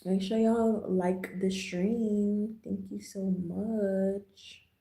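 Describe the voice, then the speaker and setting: Casting: American female livestream host, relaxed mellow tone with lots of vocal fry, chatty and slangy, echoing room sound. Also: medium pitch, drawn-out words. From Jamaica, raised in the US. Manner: laid-back, slangy, chatty. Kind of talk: casual livestream chat. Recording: roomy, echoing livestream audio.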